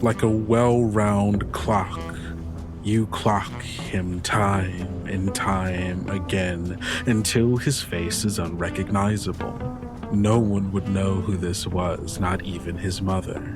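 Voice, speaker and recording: A man's voice: low voice, steady tone, takes it slowly, over music. A man narrating a tabletop horror game dramatically as game master.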